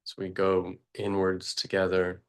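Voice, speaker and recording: Male meditation teacher, very low and level voice, unhurried halting delivery with long pauses. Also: clear, resonant tone. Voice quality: very low, flat voice. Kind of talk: guided meditation.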